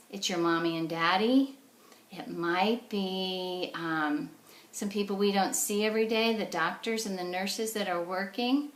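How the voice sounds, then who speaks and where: A woman speaks slowly in a roomy, echoing space, in the style of a teacher at children's story time. She explains things in simple words and puts little questions to her young listeners as she reads. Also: medium pitch. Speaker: children's teacher reading stories. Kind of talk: animated storybook read-aloud for young children.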